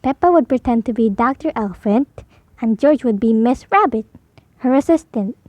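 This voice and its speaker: A woman's voice: a little high, animated, moderate pace, no room sound, lo-fi sound. A woman reading a picture story aloud for young children as scripted narration. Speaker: storybook reader.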